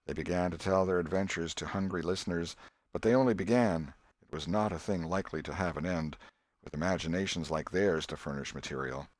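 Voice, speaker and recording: A man's voice: low-pitched, fairly quick, dry recording, studio quality. A man reading a literary story as an audiobook narrator.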